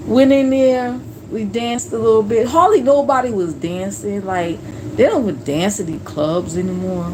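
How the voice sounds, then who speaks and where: A woman in her late forties chatting casually on a live stream, telling stories in a relaxed, slangy way. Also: mid-range voice, unhurried, background noise. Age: 40s. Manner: relaxed storytelling with slang and laughs.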